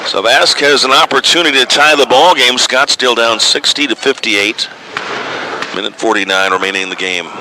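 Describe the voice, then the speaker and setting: A man's voice: medium pitch, normal speed. A man calling live basketball play-by-play for radio, in clipped running descriptions of the action, score and clock. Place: basketball gym during a game. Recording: noisy live gym broadcast.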